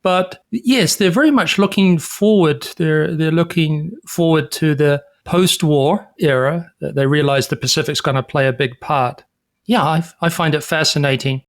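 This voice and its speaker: A man co-hosting a podcast, explaining history in a measured, informative way. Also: on the high side. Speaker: podcast co-host. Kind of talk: casual chat.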